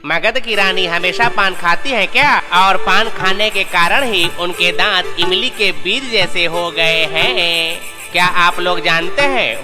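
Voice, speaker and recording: A scheming royal courtier from a folktale cartoon. His high voice moves slowly, self-important and exaggerated. It has long reverb and music clearly behind it. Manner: exaggerated, theatrical. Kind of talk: Hindi children's cartoon dubbing.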